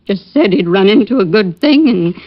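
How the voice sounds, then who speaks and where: A woman's voice: medium pitch, animated, normal speed, close to an everyday voice. Woman playing a worried older mother, old radio sound. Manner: hesitant, polite, plaintive. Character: worried older widowed mother, timid, genteel, distraught. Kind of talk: 1940s-50s radio drama acting. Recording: dull lo-fi old radio recording.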